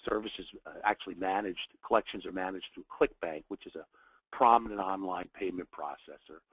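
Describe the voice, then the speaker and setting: A man's voice: low-pitched, a little fast, a bit bright, husky, no room sound, rough recording. A man with a cold, sounding a little nasal, hosting a live trading webinar and welcoming viewers in short phrases with plenty of fillers. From United States. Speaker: webinar host, trading educator.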